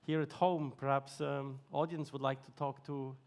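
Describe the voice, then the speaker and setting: Male moderator, gravelly, hesitant questioner. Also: mid-range voice, little pitch movement, moderate pace, darkish tone. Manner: searching, hesitant phrasing. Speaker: session moderator. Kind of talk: on-stage interview questions.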